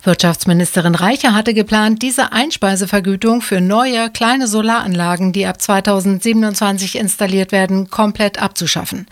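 A woman reads news items on the radio in a clear, formal, mid-pitched voice. The sound is dry and close to the mic. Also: no echo. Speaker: radio newsreader. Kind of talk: scripted news reading.

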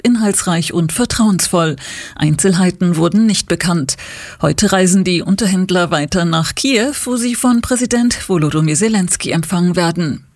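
A woman reading the radio news and weather in an even, measured studio delivery. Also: lowish voice, dry recording. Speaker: newsreader.

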